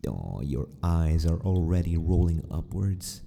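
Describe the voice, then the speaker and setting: A man playing a sadistic, possessive mad scientist in an ASMR roleplay, gloating and teasing with mock courtesy in a husky near-whisper. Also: sits low, sing-song, a little slow, dark tone, full character voice. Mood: clinical menace.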